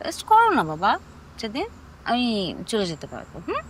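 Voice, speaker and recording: Independent woman, played by an actress, drama dialogue, very fast, animated intonation. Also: a little high. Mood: assertive. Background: music.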